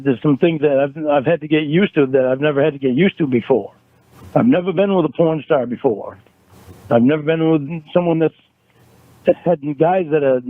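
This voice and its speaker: A man in a recorded phone argument, talking in a halting, defensive way and repeating words as he restarts. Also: fairly high pitch, dry recording. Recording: tinny phone line recording.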